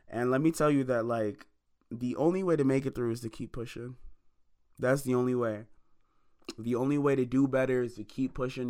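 A man's voice: mid-range voice, smooth. A man in his twenties giving a preachy motivational monologue to listeners, repeating lines for emphasis. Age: 20s. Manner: addresses listeners as y'all and baby. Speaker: independent musician opening a podcast.

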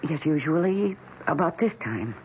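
An actress playing a timid, lonely housewife in a 1970s radio drama, speaking hesitantly and apologetically, with pleading feeling. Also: female voice, deep voice, expressive, normal speed, darkish tone. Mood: shy, hesitant. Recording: dull, noisy 1970s radio recording.

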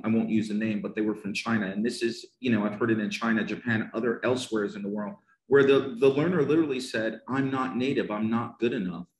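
A man's voice: on the low side, echoey room, low-quality recording. A man from the United States with a Northern New Jersey accent, an English pronunciation teacher talking emphatically and passionately on a podcast, often repeating himself for stress.

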